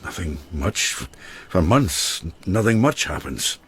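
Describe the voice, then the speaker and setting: A man playing an earthy, haunted widower in a radio drama, an expressive teller who halts and repeats himself. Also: low-pitched, slow, slightly rough, heavily acted. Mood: numb, confessional. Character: haunted, earthy widower who cooks and once went mad.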